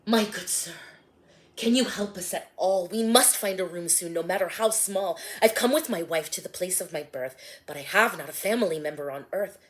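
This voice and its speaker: A woman voicing a gentle, devoted young husband in a rhyming storybook, speaking soft and low, near a whisper. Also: moderate pace, natural voice. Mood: pleading.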